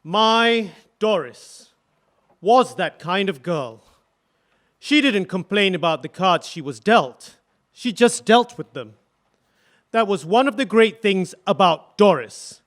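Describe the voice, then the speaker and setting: A man acting a 1940s husband testifying, gentle and reflective about his wife. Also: high voice, animated, takes it slowly, full character voice. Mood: wistful. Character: wistful grieving husband, 1940s. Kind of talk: radio drama acting.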